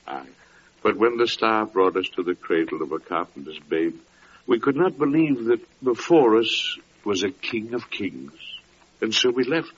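A man's voice: fairly low pitch, lively intonation, unhurried, slight background noise. An actor playing an anguished Persian wise man on a quest, grave and theatrical in archaic English, in an old-time radio drama. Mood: solemn. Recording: dull vintage radio recording.

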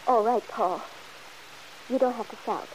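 A woman's voice: on the high side, a little slow, a little mellow, lightly acted, rough recording. An actress playing a fretful, guilt-torn young mother in an old-time radio soap opera, hesitant and pleading. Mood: frustrated, accusing. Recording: hissy vintage radio broadcast recording.

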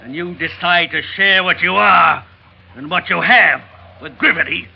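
Gravelly, bitter escaped convict. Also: male voice, high voice, measured pace, exaggerated voice. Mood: bitter, wounded. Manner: forceful, accusing, menacing. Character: bitter, jealous escaped convict, male smuggler, armed and betrayed. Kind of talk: 1940s radio drama acting. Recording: dull old radio recording, faint music.

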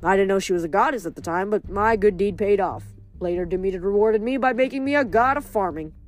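A woman's voice: mid-range voice, fast, a little mellow, faint music behind, natural voice. A woman doing a bouncy, boastful male farming god. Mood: chatty. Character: cheerful proud male farming god, chatty.

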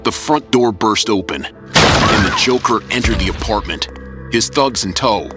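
Low-voiced man narrating over music. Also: lively intonation, measured pace. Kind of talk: scripted audio drama narration.

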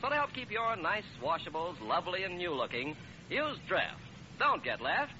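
A man's voice: sits high, big pitch swings, normal speed. An American man announcing a 1940s radio commercial with a chummy, emphatic sales delivery. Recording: muffled, noisy old radio recording.

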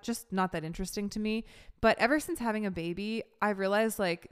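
Woman around thirty, casual podcast monologue, candid, filler-heavy, smooth voice. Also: mid-range voice, a bit bright. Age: 30s. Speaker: podcast host.

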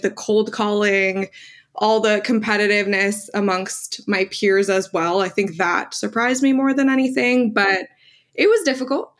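A woman in her twenties whose first language is Armenian, answering interview questions candidly as a young lawyer. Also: mid-range voice. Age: 20s. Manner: candid, earnest, with fillers. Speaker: guest lawyer.

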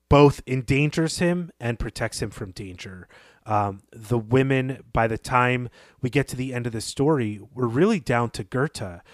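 A man's voice: medium pitch, no echo, studio quality. Thoughtful man analyzing a story. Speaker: podcast co-host. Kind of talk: literary discussion podcast.

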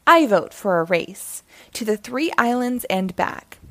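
A woman's voice: mid-range voice, big pitch swings, a little slow, bright, forceful tone, dry recording. A woman voicing a boastful, competitive male squirrel sailor in a children's storybook, with a smug, taunting manner.

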